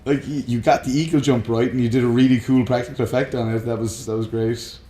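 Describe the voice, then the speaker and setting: A man's voice: medium pitch, steady tone, fast talker, mellow. Man bantering in a roomy, echoey space. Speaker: co-host. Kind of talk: casual banter about films. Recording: roomy echo, faint music. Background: faint music.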